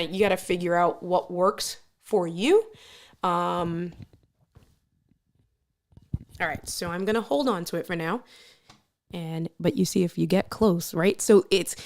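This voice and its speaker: A woman with a strong, loud voice hosting a live stream. She tests microphones and talks straight to her viewers in an energetic, playful way. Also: medium pitch. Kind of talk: casual live stream chat. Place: room with little furniture. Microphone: switching between test microphones at different distances.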